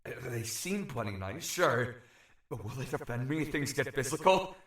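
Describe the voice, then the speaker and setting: A man acting as a nervous young outsider from another realm, thinking aloud in an audio drama. His voice is mid-pitched, echoey and set back from the mic, hesitant and wary. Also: lively intonation, normal speed, fairly bright tone, lightly acted. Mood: anxious. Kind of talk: inner monologue in audio drama. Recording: echoey, distant-sounding inner-voice treatment.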